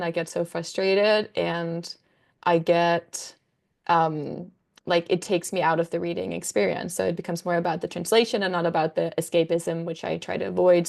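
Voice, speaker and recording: A woman's voice: lowish voice, gravelly, a little mellow. A woman in her twenties, a native Danish speaker, chatting casually about books as a guest, with frequent ums and likes. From Denmark. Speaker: guest, book content creator. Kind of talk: casual conversation.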